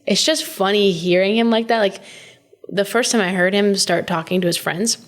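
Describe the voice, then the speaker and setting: A woman's voice: medium pitch, normal speed. A woman, a podcast guest chatting easily and filling her sentences with "like". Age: 20s. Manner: easygoing, laughs often, filler-heavy. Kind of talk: casual chat.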